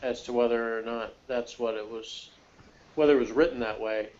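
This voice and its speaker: A man's voice: mid-range voice, fairly even intonation, moderate pace, a little mellow. Muffled, easygoing man in casual conversation. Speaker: podcast co-host.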